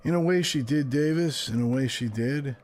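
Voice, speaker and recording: An actor playing a jumpy police detective who rambles haltingly and mutters in an audio horror drama. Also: male voice, medium pitch, lightly acted. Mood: exasperated. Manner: halting, muttering, bursting into yells.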